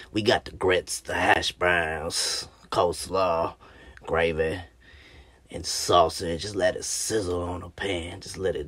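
A man's voice: low voice, fairly bright tone, slightly rough, no echo, exaggerated voice. A man voicing a folksy Southern man with a mock Mississippi accent, drawling about food. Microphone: phone front camera at arm's length.

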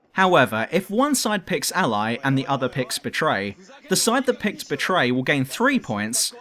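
A man's voice: a little high, fairly bright tone. A man reading scripted review narration as a video reviewer.

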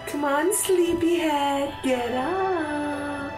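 A woman voicing a doting, chiding mother from a fantasy video game in an exaggerated, expressive way. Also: sits high, extremely slow, pitched above the performer's own voice. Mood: fond waking. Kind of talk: theatrical read-aloud of game dialogue. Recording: roomy echo, game music behind.

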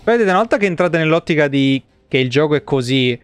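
A man's voice: fairly high pitch, animated, quick pace, clean tone. A man giving casual, foul-mouthed and jokey live game commentary in slangy speech. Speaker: video game streamer.

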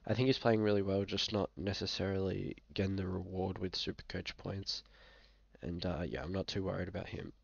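A man's voice: low-pitched, fairly even intonation, fairly quick, gravelly, no room sound, highs cut off. A young man with an Australian accent, a bit sick, talking casually and hesitantly through a screen-share review with many fillers. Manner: laid-back, frequent fillers, hesitant. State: sick, occasional coughing. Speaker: fantasy football vlogger. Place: small room indoors.

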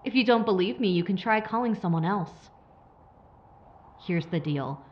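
A woman's voice: medium pitch, lively intonation, moderate pace, muffled recording, lightly acted. A woman playing a sly, menacing corporate operative in an audio drama, taunting with mock sympathy. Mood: smug, cold. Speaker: villain.

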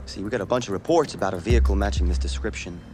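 A man's voice: fairly low pitch, racing, over music, close to an everyday voice. A man playing a menacing police officer on a power trip in a game, curt, mocking and intimidating.

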